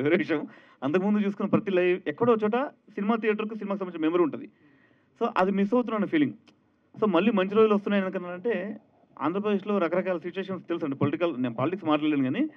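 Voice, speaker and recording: A man speaks very quickly in a slightly high voice. He explains informally, stacking up repeated phrases, like a director taking press questions. The recording is tinny and narrow. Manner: conversational, repeats phrases in lists. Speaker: film director. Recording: tinny, narrow-band event sound.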